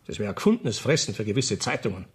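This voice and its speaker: An actor playing a reassuring, official police commissioner in a radio play. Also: male voice, lowish voice, very animated, husky, full character voice. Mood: placating. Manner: measured, official, placating. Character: smooth-talking, reassuring male police commissioner. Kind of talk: 1970s German radio play acting.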